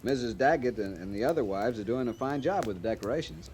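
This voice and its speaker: An actor playing an awkward, polite young cavalry lieutenant in a radio western, speaking hesitantly and earnestly. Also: male voice, medium pitch, lively intonation, fast talker, darkish tone, lightly acted. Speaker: junior cavalry officer. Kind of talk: old-time radio western acting. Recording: noisy old radio recording.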